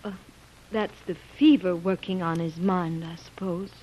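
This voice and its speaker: A woman's voice: on the low side, steady tone, a little slow, warm tone, natural voice. An actress playing a capable, caring young woman nursing patients in an old jungle radio serial. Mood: anxious. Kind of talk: old-time radio drama acting. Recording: muffled, noisy old radio recording.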